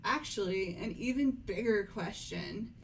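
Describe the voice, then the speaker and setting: A woman playing a composed, doubting young aristocratic girl with measured delivery in an amateur game dub. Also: medium pitch, animated, creaky, a little hiss or hum, low-quality recording.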